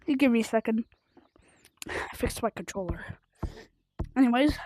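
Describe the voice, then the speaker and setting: High, animated female game streamer. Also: normal speed. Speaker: livestreamer of a video game. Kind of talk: rambling casual livestream chat while gaming.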